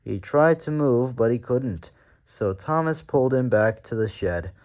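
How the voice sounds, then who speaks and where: A man's voice: mid-range voice, lively intonation, a little slow, darkish tone, highs cut off. A man narrating a children's storybook aloud as the story reader.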